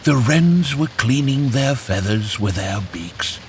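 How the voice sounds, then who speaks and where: A man narrating a scripted children's fairytale in an expressive, animated storytelling way. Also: mid-range voice, takes it slowly, slight background noise.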